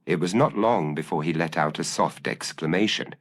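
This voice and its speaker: A man narrating a scripted gothic mystery story in a measured, theatrical, formal storytelling manner. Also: low-pitched, dry recording, clean studio sound. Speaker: audiobook narrator.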